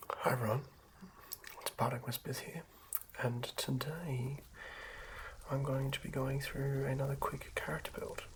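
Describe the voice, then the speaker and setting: A person whispering softly and haltingly in an unhurried ASMR style, as a host talking through and reading tabletop game material. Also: medium pitch, level tone. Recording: lo-fi whisper with clear background noise.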